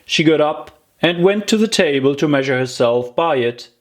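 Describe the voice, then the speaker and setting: An audiobook narrator reading a children's story in an expressive, deliberate storytelling manner. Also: medium pitch.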